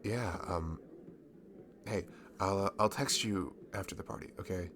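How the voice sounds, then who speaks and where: A man acting a nervous, smitten young man in an intimate ASMR roleplay, speaking in a halting, stammering, bashful way. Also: low voice, background noise. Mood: happy, flustered. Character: nervous, smitten young man confessing to his childhood best friend.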